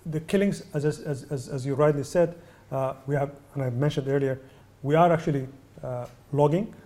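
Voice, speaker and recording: A man from Sudan, a UNICEF representative, answering press questions in a measured way with many hesitations. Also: on the high side, dark tone. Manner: measured, many ums and uhs, restarts. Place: indoor venue.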